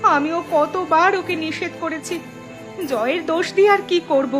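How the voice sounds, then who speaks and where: A woman's voice: extremely high pitch, measured pace, over music. A woman playing an anxious, lamenting village mother in a melodramatic cartoon. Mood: worried. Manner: fretful, lamenting.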